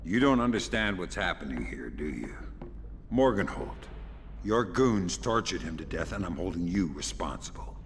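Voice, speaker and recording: An actor playing a grim middle-aged covert operative in a video game, quietly sardonic and menacing in a low gravelly voice. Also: male voice, big pitch swings, normal speed, in a large hall, slight background noise. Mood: cold threat. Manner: quiet, dry, controlled threat.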